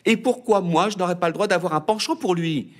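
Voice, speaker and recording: A man's voice: high-pitched, a little fast, pitched above the performer's own voice, close to an everyday voice. A man voicing a lovestruck, defiant teenage girl flower in a storybook reading, indignant and pleading.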